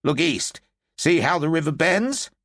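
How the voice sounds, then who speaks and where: A man reading an audiobook, voicing a blunt, irritable middle-aged British general in a gruff, brusque manner. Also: a little high, animated, a little slow, fairly bright tone, no echo, clean studio sound, voice pushed up.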